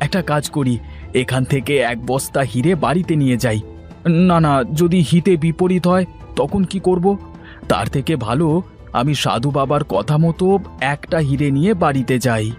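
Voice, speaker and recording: Man acting a high-voiced, plaintive poor husband, music behind. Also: expressive, normal speed, darkish tone, lightly acted. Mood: excited, hopeful. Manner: plaintive, self-pitying. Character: poor, despairing, stubborn henpecked husband. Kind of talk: animated fairy-tale voice acting.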